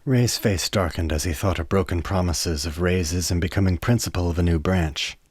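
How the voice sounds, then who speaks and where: A man narrating a radio play as a scripted story, with a measured, literary delivery. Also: sits low, breathy edge, no room sound.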